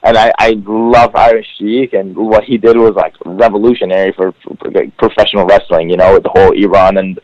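A man's voice: on the low side, fairly even intonation, fairly quick, few pauses, darkish tone, no room sound, highs cut off. A man whose first language is Farsi, a professional wrestler talking as a guest in a casual podcast interview, rambling with many fillers.

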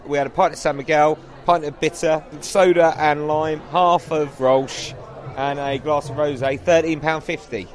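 A man's voice: fairly high pitch, steady tone, normal speed. A man hosting a podcast in casual pub chat. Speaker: podcast host. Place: out at a London pub.

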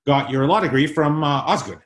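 A man from South Africa hosting a podcast in a casual, conversational way, with a halting, informal delivery. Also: a little high, expressive. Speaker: podcast host. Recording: roomy, echoing sound.

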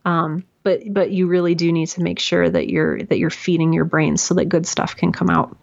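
A woman guest talks through her advice in an animated, chatty way, full of little fillers. Her voice sits a bit low. The audio is dull and lo-fi, like a remote call. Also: normal speed, creaky. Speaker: guest, writer and audio drama creator. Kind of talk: casual interview answers giving advice.

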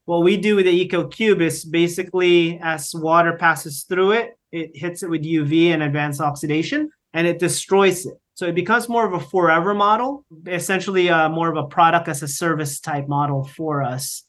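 A man's voice: on the high side, normal speed, hardly any pauses, a bit bright. A middle-aged man from the Philippines, explaining his work in an interview with many fillers and restarts. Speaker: guest, company founder. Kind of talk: conversational interview.

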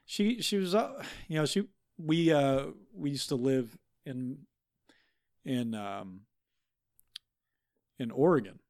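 A man's voice: medium pitch, lively intonation, darkish tone, no echo. A man doing a flustered guest expert who stumbles through every sentence, restarting and searching for words. The voice is recorded close and dry, with no room sound. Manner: halting, full of fillers and restarts. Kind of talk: improvised comedy podcast.

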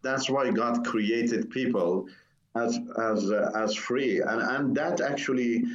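A man in his sixties from Iran, a native Turkish speaker, telling his life story in an interview and pausing often to search for words. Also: medium pitch. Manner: hesitant, searching for words, many pauses. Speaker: interview guest. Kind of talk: personal testimony in a conversation. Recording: roomy, dull lo-fi sound.

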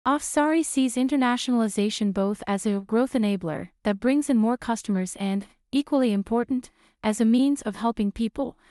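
A woman hosting a podcast, reading a scripted introduction and asking interview questions in a clear, even way. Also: mid-range voice, no room sound, studio quality. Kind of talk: scripted narration.

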